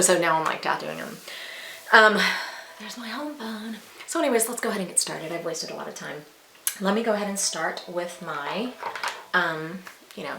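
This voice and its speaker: A woman's voice: on the low side, fairly bright tone. A woman in her forties chatting casually and candidly to viewers as a beauty vlogger, rambling with asides. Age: 40s. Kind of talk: casual chat to viewers while applying makeup. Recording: roomy echo with faint hiss.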